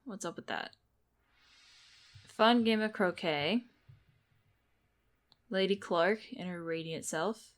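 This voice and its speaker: A woman's voice: on the low side, takes it slowly, pauses often. A woman giving casual playthrough commentary, thinking aloud as she plays. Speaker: game streamer.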